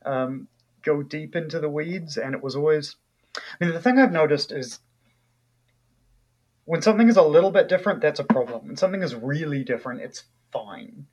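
A man's voice: fairly high pitch, highs cut off. A man from New Zealand with a New Zealand accent, an author talking casually in an interview, thoughtful and full of fillers and restarts.

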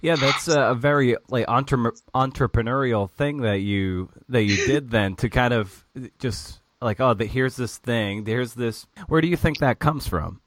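A man's voice: mid-range voice, a little slow, few pauses, fairly bright tone. A man interviewing a guest, asking searching questions full of likes and restarts. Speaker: podcast host interviewing. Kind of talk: interview conversation.

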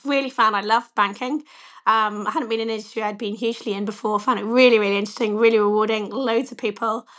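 A woman in her forties with a slightly croaky voice, answering interview questions candidly and with a self-deprecating touch, often saying "you know". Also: fairly high pitch, steady tone, fast, a bit bright. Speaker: bank chief executive, interview guest.

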